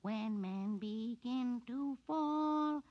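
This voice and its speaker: A woman's voice: a little high, drawn-out words, no echo, lo-fi sound. A woman playing a tiny, impish living doll in old-time radio horror, parroting and chanting in a sing-song way. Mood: eerie sing-song.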